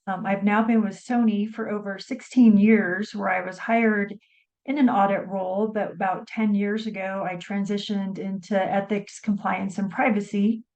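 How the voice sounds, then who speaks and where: A woman introducing herself on a panel in a measured, formal way, with small fillers. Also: medium pitch, little pitch movement, darkish tone, muffled recording. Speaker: panel moderator.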